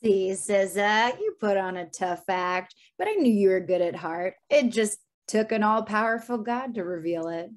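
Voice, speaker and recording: Woman voicing a young cartoon heroine, medium pitch, earnest, kind, optimistic, friendly. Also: lively intonation, normal speed, few pauses, lightly acted. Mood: teasing. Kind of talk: animated fantasy script table read.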